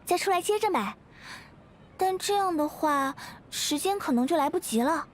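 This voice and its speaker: A high-voiced gentle young woman. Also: animated, normal speed, a little hiss or hum. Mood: sheepish. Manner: polite, soft-hearted, earnest. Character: gentle, modest, friendly young woman dancer. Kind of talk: anime game voice acting.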